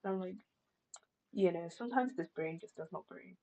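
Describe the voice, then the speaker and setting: A woman's voice: fairly low pitch, normal speed, darkish tone. A woman live streamer chatting casually over a game in a musing, wry, rambling way. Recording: slightly muffled stream, music behind.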